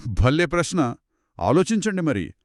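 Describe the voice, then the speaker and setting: A man's voice: on the high side, sing-song, measured pace, no room sound, studio quality. Man asking eager questions in a story. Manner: curious, prompting questions and reactions. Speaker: co-host. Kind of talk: scripted story dialogue.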